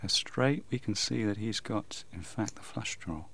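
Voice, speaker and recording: A man's voice: fairly low pitch, unhurried, creaky, fairly bright tone, a little hiss or hum. A man hosting and commentating on a televised poker game. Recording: music under the voice. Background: music.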